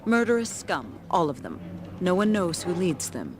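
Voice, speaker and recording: An actress playing an earnest, righteous townswoman who gives quests in a fantasy video game, speaking formally and gravely. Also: lowish voice, sing-song, normal speed, noisy background. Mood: disdainful, informative. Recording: loud music under game dialogue.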